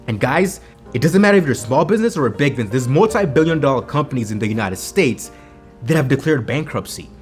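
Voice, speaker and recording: A man with a Kenyan accent, vlogging about business with energy, repeating himself and asking "right?" to his viewers. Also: mid-range voice. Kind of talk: motivational business vlog talk. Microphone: camera mic on a home video set.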